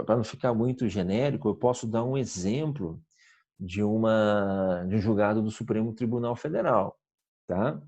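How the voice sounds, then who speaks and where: Law professor, husky and mellow. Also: male voice, mid-range voice. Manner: explanatory, many restarts and "tá?" checks. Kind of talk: recorded online lecture.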